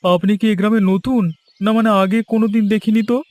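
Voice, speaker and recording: A man's voice: sits high, flat delivery, brisk, a little mellow, lightly acted. A man voicing an earnest, naive villager down on his luck in a cartoon fairy tale, with a plaintive way of talking. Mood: hesitant, worried. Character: naive, debt-ridden male villager, earnest and easily fooled. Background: background music.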